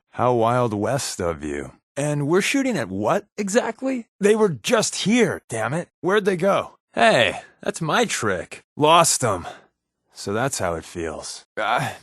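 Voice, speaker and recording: A man's voice: medium pitch, dry recording. An American man playing a sarcastic, easygoing spy companion in a post-apocalyptic video game, tossing off dry conversational quips. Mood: alert, quippy.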